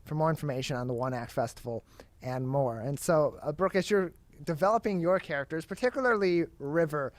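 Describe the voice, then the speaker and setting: A man's voice: on the high side, brisk. A man hosting a broadcast interview, announcing details and asking questions with a polished announcer's delivery. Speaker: interview host.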